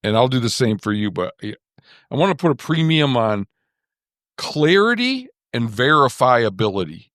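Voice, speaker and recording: A man's voice: mid-range voice, very animated, normal speed, fairly bright tone, no echo. A man hosting a casual podcast, thinking aloud with dry self-deprecating humor. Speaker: podcast host.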